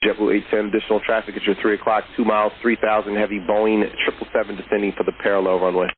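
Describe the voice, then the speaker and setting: A man's voice: lowish voice, flat delivery, very fast, slightly rough, phone-quality sound. A man working as an air traffic controller, giving clipped instructions in aviation phraseology over the radio. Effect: radio transmission.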